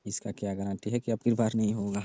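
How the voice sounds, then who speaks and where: A man's voice: lowish voice, little pitch movement, fast, mellow, dry recording, natural voice. A man playing a sulky, distrustful young repairman who grumbles and complains in a staged comic phone call. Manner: complaining, grudging. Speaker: heater repairman. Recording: dull, dry lo-fi phone recording.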